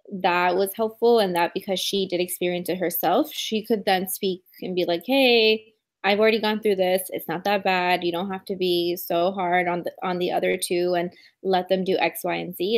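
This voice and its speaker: A woman's voice: fairly low pitch, fairly even intonation, normal speed. A young adult woman speaking on a panel in a conversational way, building on what others said. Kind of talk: casual panel discussion.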